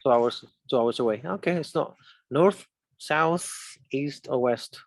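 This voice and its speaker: A man's voice: medium pitch, unhurried, no room sound. A man from Chile with a Chilean accent, a native Spanish speaker and English teacher, interviewing conversationally and explaining things step by step with examples.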